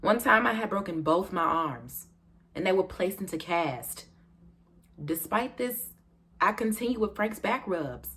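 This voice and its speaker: A woman with an American accent performing an aggrieved, self-justifying wife in a dark comic stage monologue, confiding and dramatic. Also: medium pitch, lively intonation, unhurried. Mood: wistful, confiding.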